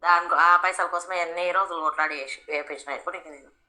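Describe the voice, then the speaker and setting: A woman's voice: lowish voice, fairly even intonation, fast talker, pushed and bright, rough recording. A woman with a Telangana accent in a casual phone conversation, talking bluntly and argumentatively. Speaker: friend on the receiving end of a prank call.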